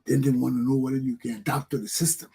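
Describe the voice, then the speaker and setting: Liberian man with a husky voice. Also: mid-range voice, flat delivery, fast talker, mellow. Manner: preachy, repetitive, emphatic. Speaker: talk show host. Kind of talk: motivational talk show monologue.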